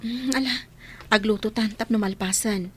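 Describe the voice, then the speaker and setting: Casting: woman as sensible young maid, good-natured, high voice, Ilocano radio comedy. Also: fairly even intonation, normal speed, fairly bright tone, a little hiss or hum, lightly acted. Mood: reproving.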